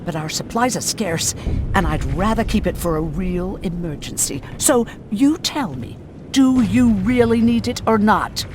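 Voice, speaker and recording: A woman's voice: medium pitch, animated, measured pace, darkish tone, slight background noise, lightly acted. An actress playing a prickly, sardonic female medic in a video game, speaking in a dry, curt way. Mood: sardonic, testy. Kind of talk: video game dramatic acting.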